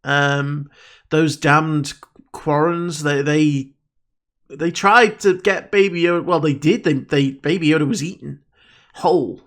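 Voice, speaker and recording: A man's voice: on the high side, steady tone, slow, fairly bright tone. A man with a stuffed-up nose giving casual reaction commentary as a video host, musing and rambling with frequent ums.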